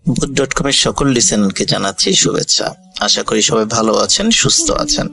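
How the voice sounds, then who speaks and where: A man narrating a ghost story to listeners as a storyteller, in a measured, formal and polite manner. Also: lowish voice, sing-song, creaky, pushed and bright. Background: music.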